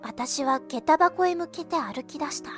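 A woman playing a guarded, blunt, irritable high-school girl in an anime-style voice drama with first-person narration. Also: a little slow, music in the background. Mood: flustered, stubborn.

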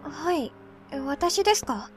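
A woman playing a polite, pensive high school girl in an audio drama, reserved and hesitant. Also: animated, slow, faint music behind. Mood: helpful, polite.

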